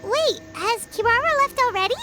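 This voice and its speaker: A tiny, excitable fairy sidekick from an anime game, eager and quick to take offence. The voice is extremely high, squeaky and childlike, swinging freely up and down. Loud music plays behind it. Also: female voice. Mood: cheerful. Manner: speaks of herself in third person, eager.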